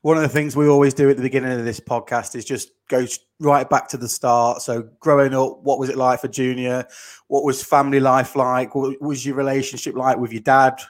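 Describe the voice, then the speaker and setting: A man's voice: mid-range voice, flat delivery, a little fast. A young man hosting a podcast, asking questions in a chatty, frank way. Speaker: podcast host. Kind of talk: casual interview chat.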